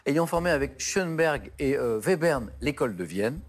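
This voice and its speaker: A man hosting a TV quiz show, reading questions in a measured, formal way and calling on contestants. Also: fairly high pitch, lively intonation, loud music behind the voice.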